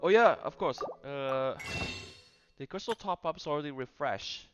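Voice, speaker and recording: A man giving casual gameplay commentary in a halting, rambling way, often repeating his phrases. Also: on the high side, animated, lo-fi sound, over music. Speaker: gamer commenting on his own play.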